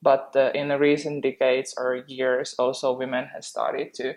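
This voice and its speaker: A woman's voice: very deep voice, flat delivery, moderate pace, darkish tone. A woman from Finland with a Finnish accent, casually explaining and chatting as a podcast co-host.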